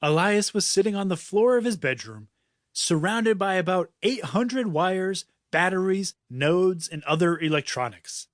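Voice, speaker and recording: A man's voice: high-pitched, lively intonation, measured pace, no room sound, studio quality. A man reading a children's audiobook in a dramatic, playful storytelling manner. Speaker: author reading his own audiobook. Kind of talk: scripted children's story narration.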